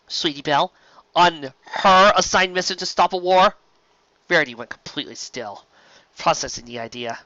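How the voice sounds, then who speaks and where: An expressive narrator reading scripted story fiction into a close microphone, on a slightly dull home recording. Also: mid-range voice, no room sound. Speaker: fan fiction audiobook narrator.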